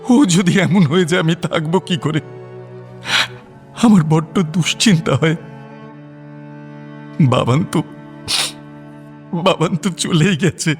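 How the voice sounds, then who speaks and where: A man acting in a radio drama as a distraught, anxious husband and bereaved father, pleading haltingly and repeating his phrases. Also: fairly high pitch, soft background music. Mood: desperate.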